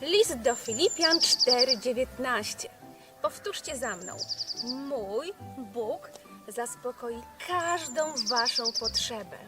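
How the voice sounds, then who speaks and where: High-voiced woman teacher over loud music. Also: animated, slow pace. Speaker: children's Bible memory verse teacher. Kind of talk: addressing children, reciting a verse to repeat.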